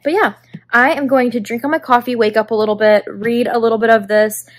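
A woman's voice: a little high, steady tone, fairly quick. A young woman chatting to the camera in a casual vlog, filler-heavy and laughing now and then, seated in her living room. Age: young adult. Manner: chatty, lots of "like", laughing asides. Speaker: book vlogger.

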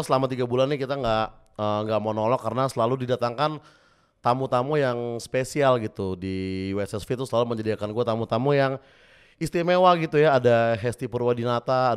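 Slightly hoarse joking young man. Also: mid-range voice, a little fast. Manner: joking, rambling, self-mocking asides. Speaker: host. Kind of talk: comedic news monologue. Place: small studio with brick wall. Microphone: studio mic on stand with headphones.

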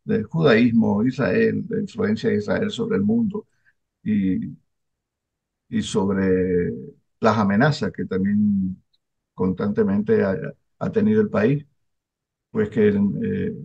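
An older man interviewing a guest over a video call, talking in a halting way with many restarts and fillers. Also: fairly low pitch, fairly even intonation, gravelly, mellow, breathy edge. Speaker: interviewer, newspaper publisher. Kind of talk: casual interview chat. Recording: dull lo-fi video call audio.

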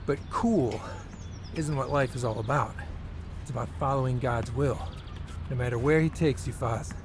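A man's voice: mid-range voice, moderate pace, noisy background, lightly acted. An actor playing a friendly, reassuring evangelical pastor in his fifties in an audio drama. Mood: friendly, encouraging. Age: 50s. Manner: reassuring, familiar. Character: friendly, encouraging middle-aged evangelical pastor.

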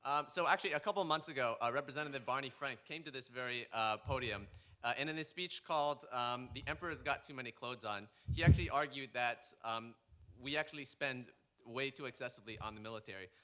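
A quick-speaking young man at an audience microphone. He phrases his question politely and formally, and the sound is a little dull. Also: medium pitch. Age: young adult. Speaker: student questioner. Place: auditorium audience. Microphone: stand microphone.

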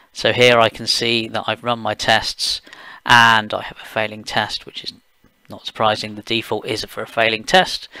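A man from the UK talking through a software screencast step by step, plain and explanatory, with occasional fillers. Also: fairly low pitch, no echo. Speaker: software engineer giving a tutorial. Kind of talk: screencast walkthrough voice-over.

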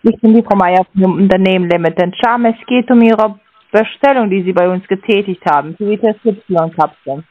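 A woman's voice: mid-range voice, moderate pace, no room sound. A woman calling as a sales agent over the phone, talking hesitantly with many filler sounds and non-native grammar. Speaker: sales caller. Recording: dry tinny phone line.

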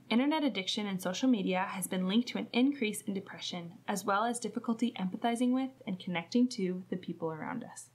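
A woman reading scripted narration for a product promo video. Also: mid-range voice. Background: guitar music.

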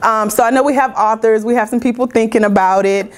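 A middle-aged woman speaks in a fairly high voice. She works a small audience as an animated, informal motivational coach, asking questions and chatting with them directly. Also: a little fast. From Georgia, United States. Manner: upbeat, interactive, animated. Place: indoor meeting room.

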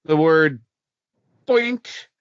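Slow-paced, animated middle-aged male podcaster. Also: fairly high pitch, dry recording, lo-fi sound. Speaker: podcast co-host. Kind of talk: casual podcast banter and announcements.

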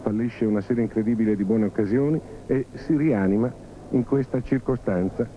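A man reporting as a football journalist in a scripted highlights voice-over, with wry, ironic phrasing. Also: a little fast, mellow. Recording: noisy old 1980 Italian television broadcast.

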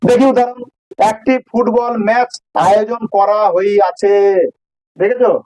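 A man's voice: high-pitched, fairly even intonation, moderate pace, a little mellow, no echo, poor audio. A man with a Bengali accent teaching a grammar lesson informally, explaining step by step and repeating phrases for emphasis.

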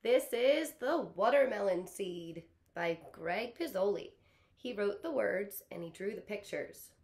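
A woman in her thirties, a kindergarten teacher, explaining things to small children in simple, deliberate phrases. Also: medium pitch, lively intonation, darkish tone. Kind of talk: explaining to young children before a read-aloud. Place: small living room, on a couch.

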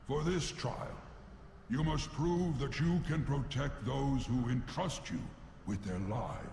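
Giant old bear spirit for a game, solemn n commanding, slow, echoey. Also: male voice, mid-range voice, gravelly, a little hiss or hum. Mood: grave, remembering. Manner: grave, commanding, deliberate. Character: huge ancient bear spirit, solemn, testing a hero. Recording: echoey reverb, loud game ambience behind.

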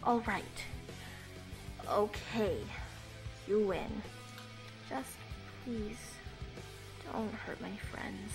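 A woman's voice: medium pitch, extremely slow, gravelly, pauses often, over music. A woman playing a defeated, loyal young pony heroine in a fan audio drama, speaking with earnest, dramatic feeling. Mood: defeated pleading.

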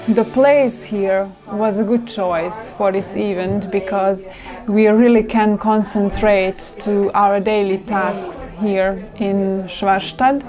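A middle-aged woman with a Hungarian accent, speaking informally to camera as a group leader. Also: mid-range voice. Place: indoor wooden room.

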